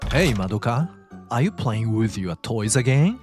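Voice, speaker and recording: Casting: actor as childish, demanding husband, mid-pitched and very animated, petulant bluster, music underneath. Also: male voice, normal speed, natural voice. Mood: petulant, belittling. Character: childish, selfish, demanding husband, dismissive and whiny. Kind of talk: dramatized dubbed dialogue.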